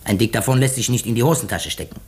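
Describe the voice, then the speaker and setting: An actor playing a shrewd, methodical detective in a 1950s radio play, explaining deliberately with dramatic pauses. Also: male voice, medium pitch, slightly rough, lightly acted. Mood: measured, deducing. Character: shrewd, methodical male detective unmasking a murderer.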